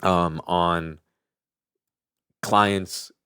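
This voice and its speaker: A man's voice: sits low, fairly even intonation, drawn-out words, sharp tone, clear, resonant tone, no echo, clean studio sound. A man co-hosting a podcast in casual conversation, speaking informally and often correcting himself mid-thought. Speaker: podcast co-host.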